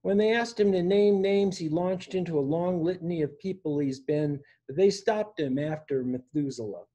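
A man in his sixties reading poetry aloud in a measured way, pausing between phrases, recorded at home on a video call. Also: a little high, mellow, lo-fi sound. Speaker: poet reading own work. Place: indoor room at home. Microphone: device mic on a video call.

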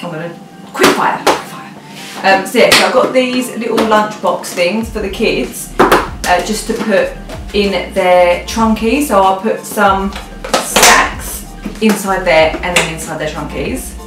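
Chatty young British woman, roomy sound. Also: fairly low pitch. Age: young adult. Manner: informal, rambling, thinking aloud. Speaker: vlogger. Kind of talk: casual chatty haul vlog. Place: living rooms at home. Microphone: camera a few feet away. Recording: roomy, distant mic, music behind.

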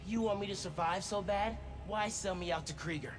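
A young actor playing an earnest, defiant teenage superhero in a video game. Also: male voice, high voice, fairly quick, close to an everyday voice. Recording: game cutscene with music underneath.

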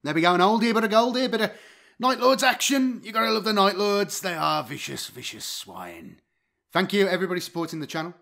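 A man's voice: high-pitched, expressive, a little fast, creaky, sharp tone. A man chatting casually to viewers as the host of a channel.

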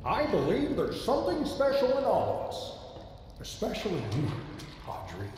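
A self-assured, theatrical studio founder, grand and confiding in a game cutscene. His slightly high voice rises and falls dramatically. Heavy hall reverb and faint ambience surround him. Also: wildly swooping pitch, normal speed, background noise. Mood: welcoming. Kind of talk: video game cutscene acting.

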